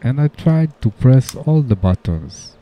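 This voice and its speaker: A man narrating a casual DIY tutorial voice-over, speaking haltingly in short phrases. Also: mid-range voice, sing-song, warm tone, faint music behind. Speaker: DIY video maker. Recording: dull lo-fi sound with background noise.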